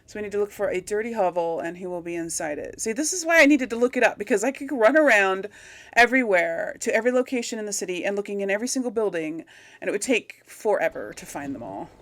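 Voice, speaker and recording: Casting: female gaming host, average pitch, informal running commentary, talkative, thinks out loud. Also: lively intonation, fast talker, no room sound. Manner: chatty, thinking aloud. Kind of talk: casual let's play commentary.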